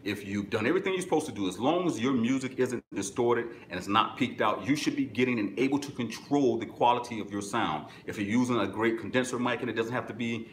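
Middle-aged male host with a deep voice, blunt and instructive, live-streaming from an echoey office on a camera mic. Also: quick pace, few pauses, a little hiss or hum. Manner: direct, instructive, answers viewer comments. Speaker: music business webinar host. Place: small office with corkboard, indoors.

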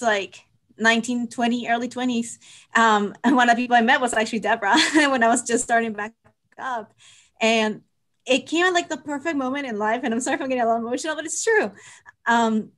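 A woman's voice: fairly high pitch, fairly bright tone. A woman in her twenties talking casually and personally on a video call, earnest and heartfelt. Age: 20s. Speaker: amateur stage actor. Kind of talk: personal, casual panel talk. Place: indoor room on a video call.

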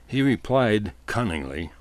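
Man, comic storyteller, wry, lively pitch swings, dry close sound. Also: a little slow, dry recording. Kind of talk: comic monologue, scripted storytelling.

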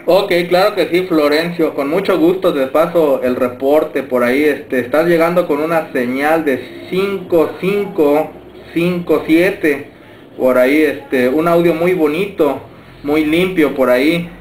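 A Mexican man giving signal reports as a radio operator, courteous and halting as he speaks indoors. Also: a little high. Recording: roomy camera pickup with faint noise.

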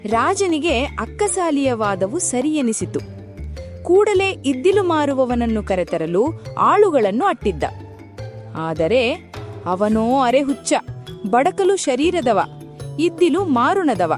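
A woman narrating a scripted children's folktale in an expressive storytelling manner. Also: high-pitched, slow pace, long pauses. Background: background music.

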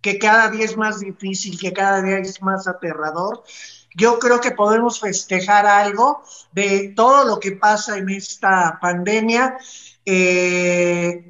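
A middle-aged Mexican woman comments casually on entertainment news as a co-host. She speaks slowly in a medium-pitched voice with a Mexican accent, and the video call sounds echoey. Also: fairly bright tone, dull audio. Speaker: show co-host. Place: indoor room.

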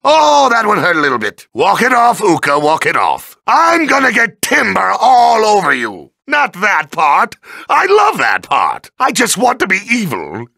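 Whiny evil cartoon boss for a video game, super gravelly, drags out words, blustery and bratty, dry close sound. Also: male voice, high voice, bright, forceful tone, no echo, full character voice. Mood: annoyed whining. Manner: petulant, blustering, theatrical taunting. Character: bratty evil villain boss spirit with new body. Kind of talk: exaggerated video game villain voice acting.

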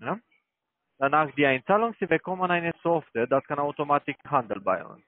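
A man's voice: fairly high pitch, very animated, brisk, a little hiss or hum. Phone salesman with a foreign accent. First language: not German. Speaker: call-centre sales caller. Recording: narrow tinny phone line.

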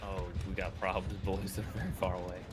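A man's voice: low-pitched, flat delivery, a little fast, faint music behind. A man talking casually with friends and commenting on the action as he plays a video game. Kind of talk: casual chat and play-by-play while gaming with friends.

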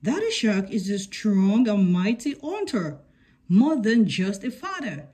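A woman's voice: mid-range voice, very animated, unhurried, warm tone, slightly rough. A woman reading a picture book aloud to children as a scripted story-time narration.

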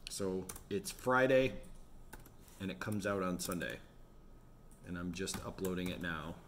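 A man's voice: low-pitched, measured pace, fairly bright tone, a little hiss or hum. A man in his forties chatting casually in a vlog about his day at home. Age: 40s. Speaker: vlogger running an online resale business. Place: home office with bookshelves.

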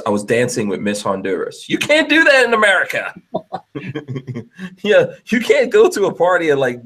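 A man in his forties, from Guyana, hosting a podcast in casual chat over a video call, animated and joking, laughing often. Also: medium pitch. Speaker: podcast host. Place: home studio room. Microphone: headset on a video call.

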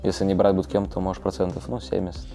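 Quiet teenage man with a very dark, low voice. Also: completely flat delivery, fast, creaky, faint music behind. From Russia. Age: teens. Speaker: university student and player.

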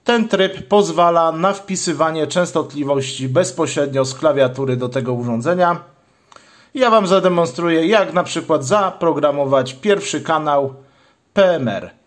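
Male tutorial host, voice a bit on the high side, methodical hands-on instruction. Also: moderate pace. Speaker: channel host, product demonstrator.

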